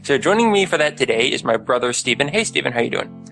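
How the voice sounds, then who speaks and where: A man's voice: medium pitch, sing-song, quick pace, vocal fry, a bit bright. A man hosting a podcast about video game music, chatting casually and teasing his guest with running jokes. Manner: jokey, teasing banter. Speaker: podcast host.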